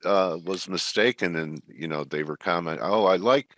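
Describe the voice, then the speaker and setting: A man's voice: low-pitched, normal speed, fairly bright tone, no echo. A man hosting a live market webinar, trading jokes with his co-hosts in a laid-back way. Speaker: host. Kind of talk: casual webinar banter.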